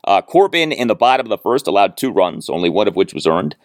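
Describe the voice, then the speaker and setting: A man hosting a sports show, giving recap commentary in an emphatic, deliberate way with clipped, stat-heavy phrasing. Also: lowish voice, very animated, no echo, clean studio sound. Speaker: sports podcast host.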